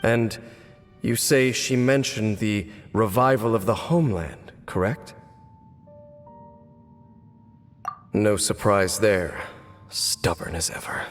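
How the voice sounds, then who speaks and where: A man's voice: lowish voice, measured pace, natural voice. A man playing a cynical, aloof, centuries-old swordsman, speaking formally and sardonically in a dramatic video game. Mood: pensive. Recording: long reverb, music behind.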